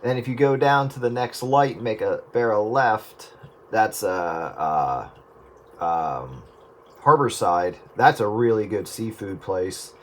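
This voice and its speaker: A man's voice: on the low side, slow. A man in casual radio chat, explaining things in a laid-back, meandering way. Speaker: radio round-up participant.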